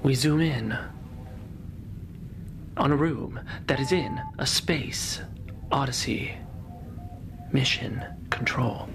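A performer voicing a solemn, eerie anthology host in a mock suspense radio introduction, slow and portentous. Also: medium pitch, over music, pitched below the performer's own voice. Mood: portentous, mysterious.

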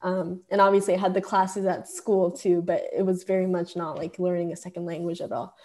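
Young adult female interviewee. Relaxed, low-key tone with flat intonation, conversational. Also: a little fast. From Japan. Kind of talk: casual conversational personal account.

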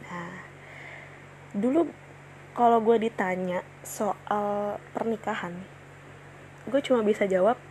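A woman's voice: mid-range voice, slow, long pauses, a little mellow, slight background noise, poor audio. A woman in her twenties hosting her own casual solo podcast, chatting in slang with many fillers and restarts. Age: 20s. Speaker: podcast host.

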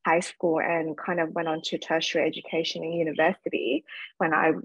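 A woman's voice: low voice, flat delivery, brisk, breathy edge, no room sound, muffled recording. An Australian woman from Sydney, a podcast guest telling her own story in a candid, reflective way. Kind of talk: casual interview conversation.

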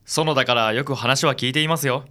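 A man playing a brisk, stern male primary-school teacher with a Kansai accent in a radio drama. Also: medium pitch, sharp tone, close to an everyday voice. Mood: polite.

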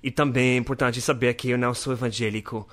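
A man's voice: mid-range voice, level tone, quick pace, a bit bright, no room sound. A young American man hosting his own podcast, speaking Portuguese as a learner with an English accent, friendly and earnest, joking and stumbling over words. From North America. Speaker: podcast host. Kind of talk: casual solo podcast monologue.

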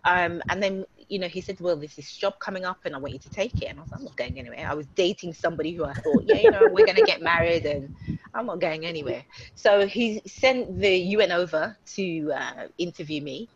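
Guest, British-born woman, lowish voice, chatty and filler-heavy, muffled video-call audio. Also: big pitch swings, brisk, gravelly, slight background noise. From United Kingdom. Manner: chatty, warm storytelling with many fillers. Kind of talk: casual online interview.

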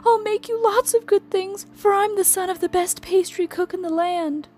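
Young storybook boy, woman performer: plucky but scared, very high pitch, urgent and exclaiming. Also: normal speed, darkish tone. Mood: pleading. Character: frightened, plucky young pastry-maker boy. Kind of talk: children's storybook character voice.

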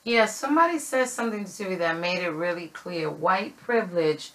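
A woman's voice: fairly low pitch, expressive, moderate pace, a bit bright. A middle-aged woman hosting a podcast, talking casually and leaning on "you know" as she shares her own experience. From not from Connecticut. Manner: conversational, many fillers and "you know". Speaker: podcast host. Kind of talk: casual group discussion.

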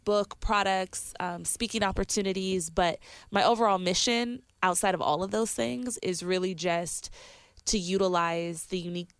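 Congested woman guest, smooth and dry. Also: medium pitch, normal speed, no echo. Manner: warm, reflective, many "like" and "um" fillers. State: congested, sniffly. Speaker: podcast guest. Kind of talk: casual podcast chat.

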